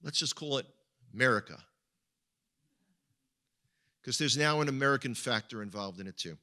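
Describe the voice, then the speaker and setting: Bright-voiced man with an Israeli accent. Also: mid-range voice, animated, normal speed. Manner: conversational, repeats words when searching for them. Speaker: guest speaker at a congregation. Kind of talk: sermon-style talk.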